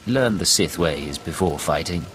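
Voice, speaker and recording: A deep-voiced, stern imperial agent. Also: male voice, animated, unhurried. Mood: stern disapproval. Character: stern male imperial intelligence agent, coolly judgmental. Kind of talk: video game dialogue acting. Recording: music behind the voice.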